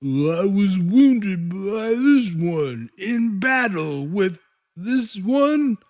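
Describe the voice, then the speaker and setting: A man voicing a panicky little monster that is working a wounded human body and haltingly imitating human speech. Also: sits high, very animated, darkish tone, pitched above the performer's own voice, exaggerated voice. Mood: strained.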